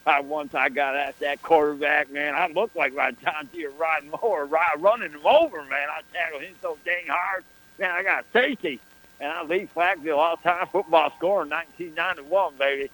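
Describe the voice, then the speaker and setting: A cocky small-town show-off who calls himself the team's lucky charm, as a man puts him on. He swaggers through every boast in a slightly high voice and tags nearly every phrase with "man" or "baby". It is a comic character monologue. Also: moderate pace, sharp tone, low-quality recording. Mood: boastful. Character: brash boastful small-town man, self-styled football good-luck charm.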